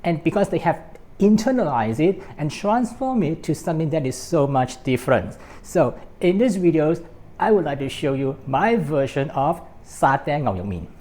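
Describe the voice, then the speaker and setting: Mellow-voiced Malaysian man teaching cooking. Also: a little high, animated, moderate pace. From born in Hong Kong, grew up in Malaysia. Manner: explanatory, step by step. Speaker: cooking show host. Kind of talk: recipe demonstration to camera.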